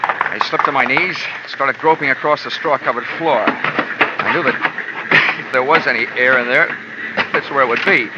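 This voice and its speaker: A man's voice: mid-range voice, normal speed, long pauses, very noisy, natural voice. An actor playing a hard-boiled male insurance investigator, narrating and talking in a terse, sardonic, wisecracking way for a 1940s radio drama. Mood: grim. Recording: dull old radio broadcast with music.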